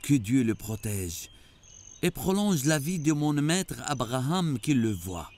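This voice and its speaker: A loyal, devout cartoon manservant, acted by a man, full of wonder. His delivery is unhurried, with pitch that rises and falls dramatically. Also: sing-song, darkish tone. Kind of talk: cartoon dubbing.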